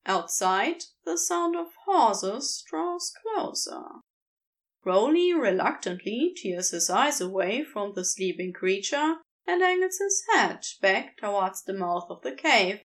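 A woman reading a fantasy story aloud in measured, expressive narration. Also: fairly high pitch. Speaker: podfic reader.

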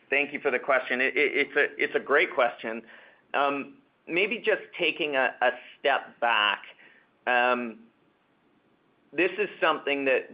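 A man speaking as a company executive answering questions on an earnings call, measured and confident, often restarting and repeating words. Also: medium pitch. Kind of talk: corporate earnings call Q&A, unscripted. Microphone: conference call webcast line. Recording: dull, band-limited conference call audio.

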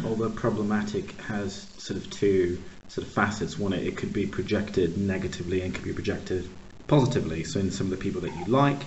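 A man's voice: sits low, a little mellow. A man from Uganda who grew up in the United States, hosting a casual interview and telling stories with enthusiasm. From Uganda, raised in the United States. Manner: enthusiastic storytelling with affirming interjections. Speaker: podcast host. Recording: dull lo-fi audio with faint noise.

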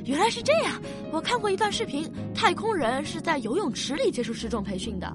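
A woman playing a curious, excitable young child in a science fiction radio drama, speaking with eager, childlike questioning. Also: high-pitched, a little fast, over music.

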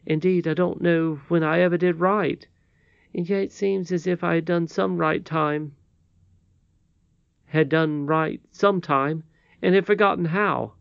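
A man voicing an earnest, contrite and excitable boy in a storybook, speaking with polite emphasis. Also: fairly high pitch, a little slow, vocal fry, smooth, no room sound, lo-fi sound, pitched above the performer's own voice. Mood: remorseful. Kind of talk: storybook character reading.

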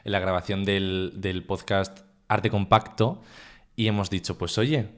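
A man's voice: low-pitched, steady tone, a little slow, a bit bright. A man co-hosting a casual podcast, joking and teasing, swearing freely, recorded in his guests' home. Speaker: podcast co-host. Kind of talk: casual banter.

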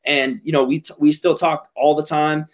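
A man's voice: on the high side, level tone, moderate pace. A man in a casual podcast discussion, talking earnestly and stumbling over his words with many restarts. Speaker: podcast guest.

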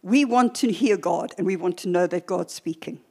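An older woman preacher teaching a church sermon in a deliberate, emphatic way, with short pauses and repeated phrases. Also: lowish voice, breathy edge.

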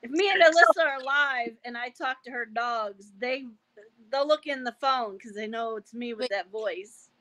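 Bubbly lady on a podcast, high bright voice, laughs a lot. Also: normal speed, no room sound, poor audio. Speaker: podcast guest. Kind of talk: casual chat.

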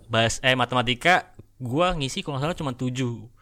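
A man's voice: medium pitch, brisk, pushed and bright. A young man with a Jakarta Indonesian accent, chatting casually and jokingly with friends in slang as a podcast host. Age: young adult.

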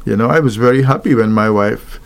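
Gravelly man from Guyana, halting. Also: medium pitch. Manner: thoughtful, halting, many fillers and restarts. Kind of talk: reflective talk on marriage.

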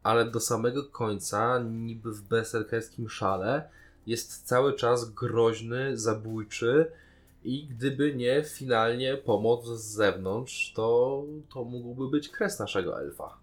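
A man's voice: medium pitch, fairly even intonation, takes it slowly. A man with a Polish accent co-hosting a podcast in casual, critical conversation. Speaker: podcast co-host. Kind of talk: casual critical discussion of a series.

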